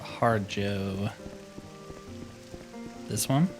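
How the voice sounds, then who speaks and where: A man's voice: fairly low pitch, extremely slow, over music. A man giving casual, chatty commentary while playing a roleplaying video game.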